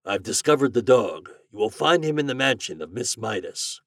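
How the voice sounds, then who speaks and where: A man's voice: mid-range voice, animated, normal speed, no echo, clean studio sound, recorded from a distance, voice pushed up, natural voice. A man voicing a poor, lovelorn glassblower in a storybook, plaintive and earnest. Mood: eager.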